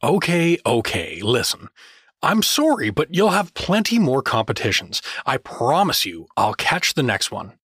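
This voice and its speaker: A man's voice: mid-range voice, very animated, measured pace, a bit bright, breathy edge, dry recording, clean studio sound, exaggerated voice. A man playing a well-meaning but clueless dad turned adventurer in a fantasy audio drama, hesitant and apologetic. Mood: apologetic, awkward.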